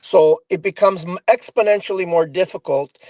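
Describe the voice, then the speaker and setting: A man in his sixties hosts a panel and talks earnestly, leaning on stories and analogies. His voice is fairly high for a man, and the recording is dry with no room sound. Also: moderate pace, a little mellow, no echo. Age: 60s. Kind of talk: casual panel talk.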